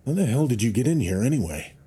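A groggy, whiskey-soaked politician acted by a man in an audio drama, husky and dark in tone. He blusters irritably and slowly, with a widely swooping low pitch. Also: sing-song. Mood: irritable, bemused. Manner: blustering, colloquial.

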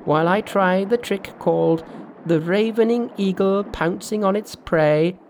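High-pitched cocky monkey hero. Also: male voice, slight background noise. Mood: eager. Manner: assured, brisk, decisive. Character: cocky, quick-witted male monkey hero. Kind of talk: storybook narration with character voices.

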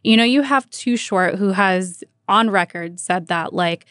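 An American woman hosts a relaxed, informal radio interview in a close, dry recording. She talks in a chatty, conversational way, sprinkling her questions with small filler phrases like "you know". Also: medium pitch, a little slow, dry recording. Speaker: radio host interviewing a guest.

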